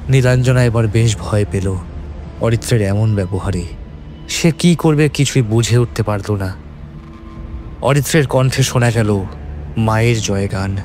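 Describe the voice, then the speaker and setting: Guy narrating a horror story, mellow dark voice, bit of music behind. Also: on the low side, a little slow, pauses often. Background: background music.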